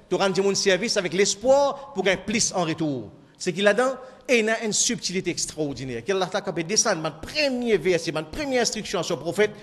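High-pitched Mauritian preacher in a reverberant hall. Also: male voice. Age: middle-aged. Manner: emphatic, repeating key phrases. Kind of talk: sermon. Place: indoor mosque hall. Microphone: microphone on a stand. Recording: echoey hall with long reverb.

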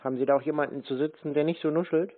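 A man's voice: on the high side, steady tone, rapid-fire. A man making mocking prank phone conversation in a teasing, sarcastic manner, as the host of the calls. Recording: narrow tinny phone line.

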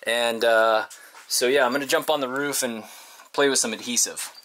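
A man's voice: medium pitch, steady tone, normal speed, a bit bright. A man talking casually and informally to a handheld camera as he works on a project, rambling as he explains. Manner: informal, rambling, talks while working. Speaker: vlogger, host. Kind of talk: casual DIY vlog narration to camera. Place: inside a converted school bus and outdoors beside it. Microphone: handheld camera at arm's length.

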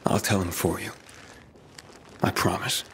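An actor playing an earnest, reassuring younger survivor hero in a video game. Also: male voice, low-pitched, fairly even intonation, a little slow, sharp tone. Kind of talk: video game dialogue acting. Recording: heavy background noise.

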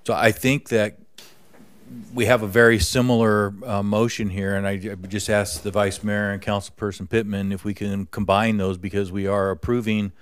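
Low, even-toned man in formal discussion. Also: moderate pace, clean tone. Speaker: council meeting participant. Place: council chamber, indoors. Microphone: dais microphone.

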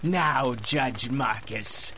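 A man's voice: medium pitch, extremely slow, creaky, pushed and bright, slight background noise, full character voice. A man playing a vengeful, menacing supervillain with a theatrical, taunting manner in a radio drama. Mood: grim, vengeful. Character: vengeful, menacing male supervillain with mind powers. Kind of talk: 1930s radio acting.

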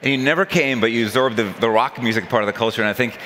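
Middle-aged interviewer on a conference stage. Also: male voice, mid-range voice, very fast, a bit bright, a little hiss or hum. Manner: rambling, restarting questions. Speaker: interviewer, investor. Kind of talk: on-stage conversation. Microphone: headset mic.

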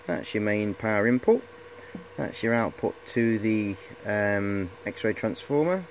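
A man's voice: fairly low pitch, expressive, unhurried, a little hiss or hum. A middle-aged man giving a casual, hesitant, rambling show-and-tell on a handheld camera in a cluttered workshop. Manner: rambling, hesitant, repeats "of course". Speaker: hobbyist presenter.

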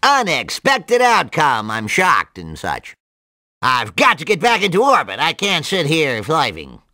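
A man voicing a nerdy, excitable, absent-minded cartoon mad scientist in a video game, nasal and full of rambling jargon and odd exclamations. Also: on the high side, unhurried, no room sound, clean studio sound, full character voice. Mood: put out, flustered.

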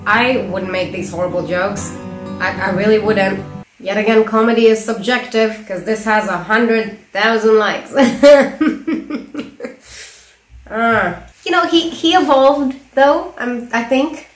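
A woman's voice: fairly high pitch, slow, reverberant, faint music behind. A young woman reacting to a video in casual commentary, teasing and giggly, with sarcastic asides. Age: young adult. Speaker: reaction vlogger. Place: small room at home, indoors.

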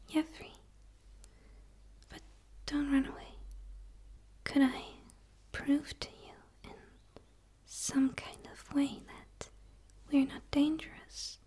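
A woman voicing a gentle, curious dark alien in a soft, tentative, pleading ASMR roleplay whisper. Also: high voice. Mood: reassuring, apologetic. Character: gentle, curious female dark alien eager for human friendship.

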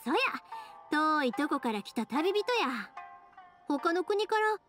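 A woman voicing a cheerful, caring young shopkeeper with a Kansai accent and a brisk, reassuring, informal manner in an anime-style game. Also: high voice, very animated, fairly bright tone, faint music behind, exaggerated voice. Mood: friendly, reassuring. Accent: Kansai Japanese. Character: cheerful, caring young woman who runs fireworks shop.

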